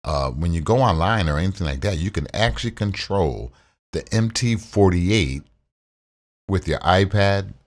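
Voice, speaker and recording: Man, baritone, very low voice, easygoing gear-review delivery, close studio mic. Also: normal speed. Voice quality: deep baritone. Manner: relaxed, repetitive, uses fillers. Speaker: tech reviewer. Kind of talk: casual tutorial with screen share. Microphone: condenser studio mic.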